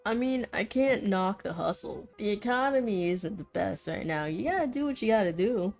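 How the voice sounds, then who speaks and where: A woman's voice: medium pitch, animated, normal speed, gravelly, a little mellow, faint music behind. A woman giving casual gameplay commentary as a streamer, with an offhand, joking, wry manner. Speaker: video game streamer.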